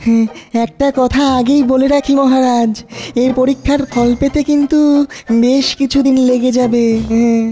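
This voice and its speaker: A woman voices a cautious court scientist in a cartoon. The voice is high yet soft-edged and mellow, deferential and hesitant, over light music. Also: steady tone, normal speed, lightly acted. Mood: careful, deferential. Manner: hesitant, careful. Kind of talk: Bengali comedy cartoon voice acting.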